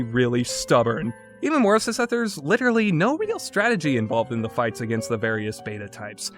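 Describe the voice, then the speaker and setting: A man's voice: mid-range voice, fairly bright tone. A man giving an opinionated video game review in an exasperated, sarcastic and emphatic way, with casual phrasing.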